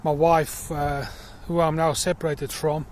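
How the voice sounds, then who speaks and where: A middle-aged man with a South African accent, talking earnestly about himself in a personal vlog while out walking in a field, with many pauses and fillers. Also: a little high, little pitch movement, darkish tone, a little hiss or hum. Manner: earnest and reflective, pausing with fillers. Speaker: crowdfunding campaigner. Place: outdoors in a field.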